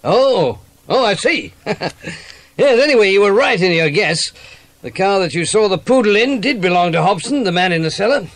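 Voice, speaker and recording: A genial inspector from a children's audio drama, acted by a man. His voice sits quite high, with a hearty, chatty manner and hardly any room around it. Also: animated, moderate pace, a bit bright, dry recording. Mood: genial, pleased. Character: genial, grateful male police inspector.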